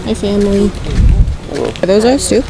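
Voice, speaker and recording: A young woman vlogging casually to a handheld camera at a humid beach resort, chatty and giggly with quick asides. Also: medium pitch, fairly even intonation, rough recording. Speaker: travel vlogger. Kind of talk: casual vlog narration to camera. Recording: loud outdoor noise around the camera mic.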